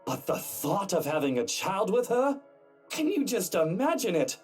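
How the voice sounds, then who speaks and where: An actor playing a sardonic, hard-drinking wealthy husband in a game, with a theatrical, wry manner. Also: male voice, high-pitched, very animated, moderate pace, darkish tone. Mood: evasive, defensive. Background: game music.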